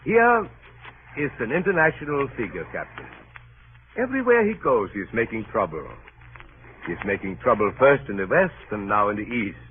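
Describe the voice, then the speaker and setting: A scratchy, phone-thin 1930s radio recording carries a man acting as a shrewd Dutch colonial official. His delivery swings widely in pitch, sardonically amused and unyielding, in deliberate, Dutch-accented broken English. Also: sing-song, narrow, tinny audio, natural voice. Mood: mocking, emphatic.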